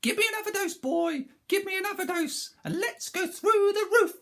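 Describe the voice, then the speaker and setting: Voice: very high voice, normal speed, a bit bright, pitched above the performer's own voice. Screechy, whooping old grandmother. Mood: wild demanding. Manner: shrieking, whooping, sing-song. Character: screechy wild old grandmother, gleeful and demanding. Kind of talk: storybook reading.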